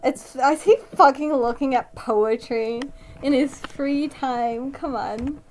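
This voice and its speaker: A woman's voice: sits high, fairly even intonation, slow pace, slight background noise, low-quality recording. A woman reads a story aloud and breaks in with blunt, mocking, sweary casual commentary. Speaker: reader reacting to a fan fiction story.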